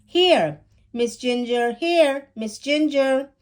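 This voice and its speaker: A woman voicing an eager, excitable young boy in a children's storybook. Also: high-pitched, slow pace, pitched above the performer's own voice. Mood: determined. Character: eager young boy keen on good deeds.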